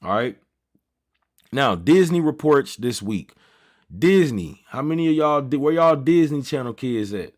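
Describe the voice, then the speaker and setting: A man's voice: mid-range voice, expressive, unhurried, a little mellow. Man in his thirties, livestream host, casual slangy chat, rambling asides. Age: 30s. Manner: loose slangy banter, easily sidetracked. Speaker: trading stream host.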